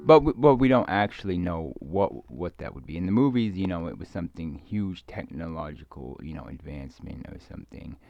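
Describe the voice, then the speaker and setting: A man rambling casually in a hesitant, halting, musing way, often trailing off as he thinks aloud. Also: deep voice, animated, vocal fry, dry recording, faint music behind. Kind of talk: rambling casual monologue, ASMR roleplay. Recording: dull lo-fi close dry recording.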